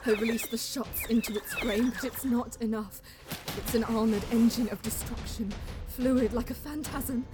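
An actress playing a grave elf artisan who narrates an audio drama in a hushed, formal, dramatic manner. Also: female voice, on the high side, huge pitch swings, moderate pace, music in the background. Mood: tense urgency. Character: grave female elf artisan narrating her perilous journey. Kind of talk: scripted first-person audio drama narration.

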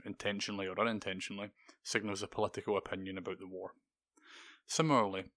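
A man with a low, gloomy voice reads a scripted podcast lecture at a measured pace, his sentences sinking at the ends. The tone is bright and forward, and the sound is dry with no room around it. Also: dry recording. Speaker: podcast host.

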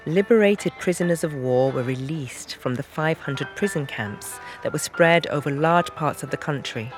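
A narrator reading scripted announcements and historical notes for an audio drama in a documentary style. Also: mid-range voice. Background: music.

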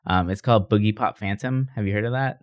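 A man's voice: lowish voice, fast, dry recording, clean studio sound. A man co-hosting a podcast, chatting casually and giving recommendations in loose, informal phrasing. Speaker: podcast co-host. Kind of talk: casual chat, recommending anime.

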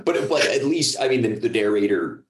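A man's voice: fairly low pitch, expressive, moderate pace. A man, a voice actor appearing as a podcast guest, talking casually and often checking for agreement as he goes. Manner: conversational, seeks agreement with "right?". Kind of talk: casual interview chat.

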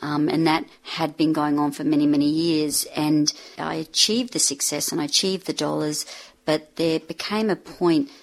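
A woman in her fifties talks as a guest in a reflective manner. Her voice sits low for a woman. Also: fairly even intonation, normal speed. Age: 50s. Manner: reflective, frequent fillers and restarts. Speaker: author and speaker, guest. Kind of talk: interview conversation.

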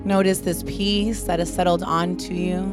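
A woman leading a guided prayer meditation in a slow, soothing, calm manner. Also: lowish voice. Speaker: meditation leader. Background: new-age music.

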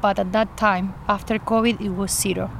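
A woman's voice: mid-range voice, level tone, moderate pace, creaky. Woman, Argentine Spanish accent, earnest, interviewed outdoors in a garden, faint background noise. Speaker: garden coordinator. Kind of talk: interview, personal account.

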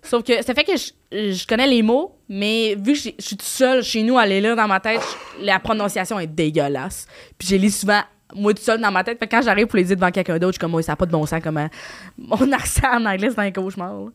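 A woman's voice: mid-range voice, fast. A young woman, a comedian guest chatting casually, slangy and mixing in English words. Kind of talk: casual chat.

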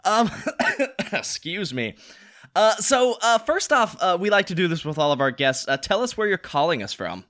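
Lively, higher-voiced man co-hosting a podcast. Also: moderate pace. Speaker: podcast co-host. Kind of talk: casual podcast interview.

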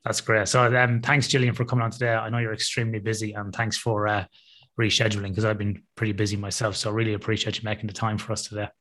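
Male podcast host, rapid casual delivery, joking and teasing interview manner. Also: on the low side, level tone, fairly bright tone.

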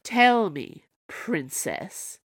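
A woman's voice: fairly low pitch, big pitch swings, a little slow, dry recording, exaggerated voice. A woman reading the part of a cold, cruel fairy-tale queen who drags out her words with a sneer. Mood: taunting.

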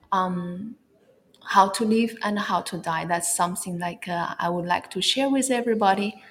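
A woman from China with a Chinese accent, speaking English as a second language, talking as an interview guest in a halting way, often searching for words. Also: mid-range voice. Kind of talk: casual interview talk.

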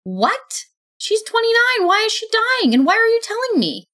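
A woman voicing a tall, brash young woman yelling in screechy, angry bursts. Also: medium pitch, sing-song, moderate pace, voice pushed up. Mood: upset.

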